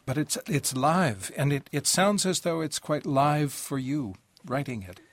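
A man hosting an interview in a reflective, thoughtful and measured way. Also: fairly high pitch, no echo. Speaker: interviewer, host.

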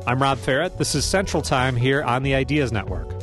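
A man hosting a public radio interview show, reading measured, scripted introductions and asking questions. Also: medium pitch, expressive, over loud music. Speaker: radio host.

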